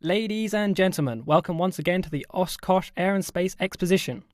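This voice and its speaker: A man's voice: high voice, fairly quick, darkish tone, no echo, studio quality, pitched above the performer's own voice, lightly acted. Man putting on a showy air show announcer. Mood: hyped. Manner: showy, projected, hyping. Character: excitable male air show announcer, hyping the crowd.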